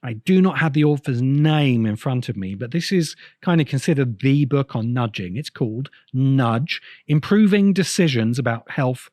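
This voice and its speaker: A man giving informal, intellectual commentary as an essay host, thinking aloud with pauses and repeated words as he explains. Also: mid-range voice, animated, darkish tone, dry recording, clean studio sound. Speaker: host of a science fiction video essay channel. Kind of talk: informal spoken commentary and analysis.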